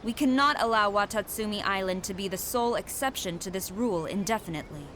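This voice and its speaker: A woman's voice: mid-range voice, fast, fairly bright tone, slight background noise, natural voice. A woman voicing a stern female commander in a video game, firm and formal.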